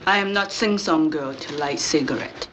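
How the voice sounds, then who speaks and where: An actress playing a cool, stern go-between for a crime boss in an old film, husky breathy voice and clipped, formal broken English. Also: female voice, sits low, moderate pace, a bit bright, slight background noise. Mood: cool, disdainful.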